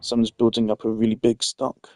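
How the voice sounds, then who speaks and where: A man's voice: lowish voice, completely flat delivery, brisk, mellow, faint music behind. A man giving casual gameplay commentary, rambling as he thinks aloud. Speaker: gamer.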